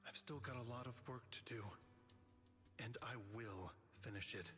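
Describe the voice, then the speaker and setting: A man voicing a weary, remorseful master and guardian in a game, speaking in a solemn, measured way. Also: mid-range voice, sharp tone, natural voice. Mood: gentle, weary. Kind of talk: dramatic game voice acting. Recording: music behind the voice.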